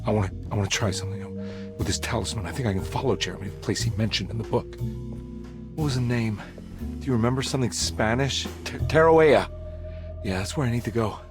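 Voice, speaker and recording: Guy playing a tired gumshoe in a video game, lowish gruff voice, short lines, music playing behind. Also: quick pace, lightly acted. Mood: urgent, unsettled. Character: weary, dogged male private detective. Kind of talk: cinematic video game voice acting.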